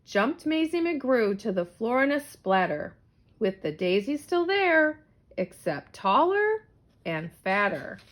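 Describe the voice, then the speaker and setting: A woman reading a rhyming children's story aloud in an animated, theatrical storytelling manner. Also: fairly high pitch, takes it slowly. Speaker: storybook reader.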